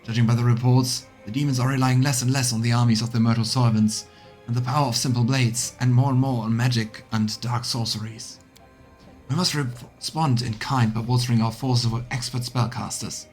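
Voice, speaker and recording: A man's voice: medium pitch, steady tone, fairly quick, a little mellow, soft background music, natural voice. A man voicing a serious military captain in a game, giving a formal briefing to a commander. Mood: serious, urgent.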